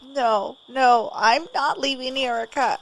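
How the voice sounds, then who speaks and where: A woman's voice: sits high, takes it slowly, rough recording. An actress playing a frightened, wounded young girl who pleads tearfully. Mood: pleading.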